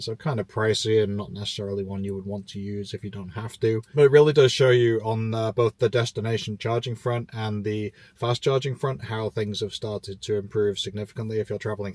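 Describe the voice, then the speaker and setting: A man's voice: on the low side, a little mellow, no echo. A man in his thirties, host of an EV channel, talking casually and informatively from a parked car, with many fillers. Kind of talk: casual road-trip commentary.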